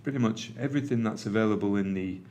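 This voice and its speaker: Middle-aged Mancunian man, lowish voice, relaxed presenter with lots of ums, faint room ambience. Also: fast, a little hiss or hum. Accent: Manchester. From England. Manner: conversational, with frequent ums and uhs. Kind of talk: conference presentation. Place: meeting room with an audience.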